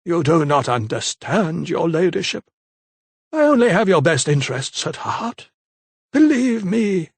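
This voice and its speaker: A man voicing a zealous, menacing old vicar who speaks in a slow, unctuous, preaching manner, in a dry, close audiobook reading. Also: a little high, big pitch swings, husky, no room sound, voice pushed up, full character voice.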